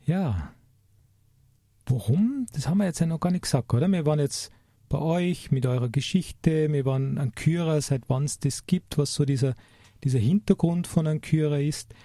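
Radio talk host, husky and very dark, dry studio sound, asks thoughtful questions. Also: medium pitch, expressive, moderate pace, dry recording.

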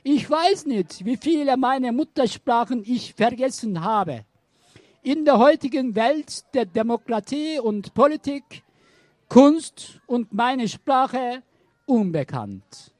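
A woman reciting a spoken-word art poem in a slow, declamatory way. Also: fairly high pitch, expressive, a little mellow, dry recording.

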